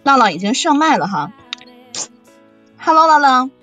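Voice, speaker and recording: A woman's voice: sits high, very animated, moderate pace, sharp tone, highs cut off, faint music behind. A woman hosting a casual live voice chat in a teasing, reassuring way, full of exclamations. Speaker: host of a radio drama cast chat. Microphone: online voice chat.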